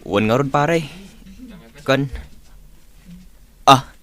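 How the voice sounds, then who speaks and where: Casting: friendly office buddy, medium pitch, Ilocano accent, radio drama. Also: male voice, very slow, a bit bright. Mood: chummy. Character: chummy male coworker and confidant.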